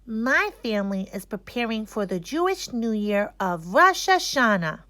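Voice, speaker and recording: Woman as a cheerful, high-voiced schoolboy presenting. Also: big pitch swings, measured pace, a bit bright, no echo. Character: young schoolboy Mo, cheerful and eager to share. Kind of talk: children's storybook read-aloud.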